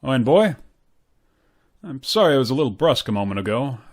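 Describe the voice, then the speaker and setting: A man acts a hearty, pompous company boss from a classic radio comedy. His mid-pitched voice swoops up and down with bluster as he patronizes in a fatherly, tight-fisted way. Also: sing-song, fast talker. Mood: patronizing, confiding. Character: blustery, stingy, patronizing male boss, middle-aged or older. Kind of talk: old-time radio sitcom acting.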